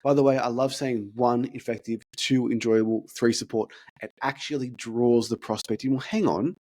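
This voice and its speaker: A man's voice: mid-range voice, fairly even intonation, a little mellow. Stuttering man talking sales. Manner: talks through deliberate stutters and repeated words. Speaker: fitness business consultant. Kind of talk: casual podcast chat, role-playing sales calls.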